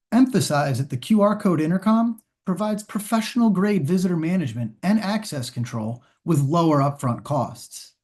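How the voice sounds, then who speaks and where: A man presenting scripted sales training in a polished, instructional, measured way. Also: a little high, dark tone. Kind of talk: scripted corporate training narration.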